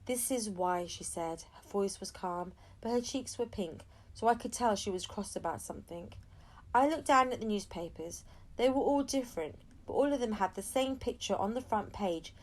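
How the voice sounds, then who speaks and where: A woman's voice: medium pitch, lively intonation, moderate pace. A woman reading a children's story aloud as an audiobook narrator, expressively and acting out the dialogue. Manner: acts out dialogue between narration. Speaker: audiobook reader.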